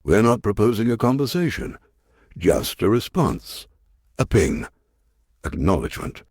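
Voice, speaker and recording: A man playing an earnest, resolute science professor in a sci-fi audio drama, with a husky voice and deliberate delivery. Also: lowish voice, sing-song, a little mellow, no room sound. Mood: earnest, convinced.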